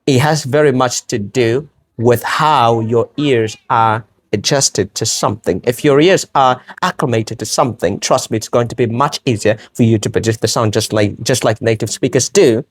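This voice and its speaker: A man's voice: mid-range voice, normal speed, few pauses. A man teaching English pronunciation on a podcast, speaking with a British-sounding accent, earnest and emphatic, often repeating phrases as he builds his point. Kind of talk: podcast interview answers.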